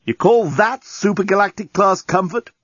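A man's voice: high voice, moderate pace, fairly bright tone, breathy edge, no room sound, highs cut off, voice pushed up. A man voicing an indignant, blustering male traveller in a comic audiobook. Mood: indignant alarm.

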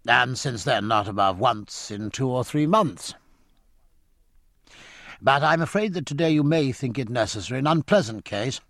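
A man's voice: medium pitch, lively intonation, moderate pace, a bit bright, dry recording, clean studio sound. A man voicing a deferential ship's officer who explains matters respectfully to his captain.

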